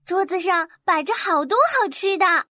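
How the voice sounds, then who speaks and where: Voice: extremely high pitch, slow, pushed and bright, no echo, studio quality, full character voice. A performer voicing a poor, cold, hungry little match-selling girl in a children's storybook, pleading and exclaiming. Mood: delighted.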